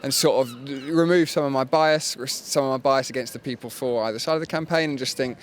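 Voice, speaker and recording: A man's voice: mid-range voice, brisk, a little hiss or hum. A man from Milton Keynes who lives in London, speaking reflectively. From Milton Keynes, England.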